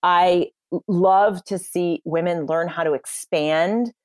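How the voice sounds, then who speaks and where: A woman's voice: on the low side, fairly even intonation, slow, darkish tone, no room sound, clean studio sound. Confident woman coaching on communication. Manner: confident, emphatic, advice-giving. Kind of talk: podcast interview answers.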